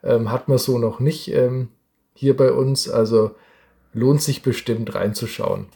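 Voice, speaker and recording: A man's voice: medium pitch, steady tone, measured pace, creaky, warm tone. A man hosting a podcast in a casual, chatty style. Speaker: podcast host.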